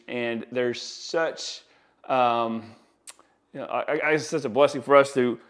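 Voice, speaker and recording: Man, medium pitch, casual product demo, enthusiastic, folksy, colloquial salesman. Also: clear, resonant tone. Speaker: audio installer presenting products. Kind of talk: casual product demonstration to camera.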